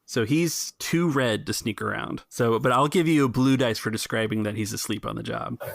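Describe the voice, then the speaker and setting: A man with a gravelly, fry-edged voice of middling pitch, recorded close and dry. He runs a tabletop game, thinking aloud as he narrates and explains rules. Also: moderate pace, no echo. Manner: conversational, improvising, thinking aloud. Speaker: game master. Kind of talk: casual tabletop roleplay narration and rules talk.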